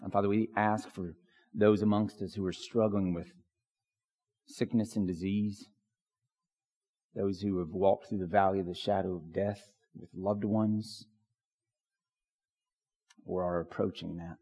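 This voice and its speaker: Hoarse pastor in a reverberant church. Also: male voice, low-pitched, fairly even intonation, measured pace, long pauses, warm tone. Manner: filler-heavy, restarts often. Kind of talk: prayer and sermon. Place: church sanctuary.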